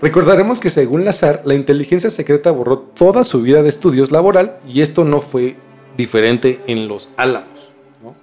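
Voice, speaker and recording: A man's voice: on the high side, lively intonation, a little fast, dark tone. A man with a Mexican accent hosting a podcast, mixing narrated storytelling with slangy, colloquial chat. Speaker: podcast host. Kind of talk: conversational storytelling mixed with scripted narration. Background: music.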